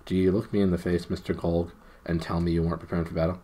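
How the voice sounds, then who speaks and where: A man's voice: low voice, little pitch movement, fast, dark tone, breathy edge, pitched below the performer's own voice. A man voicing a gloomy, cautious marsh-wiggle who talks suspiciously. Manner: suspicious, grumbling.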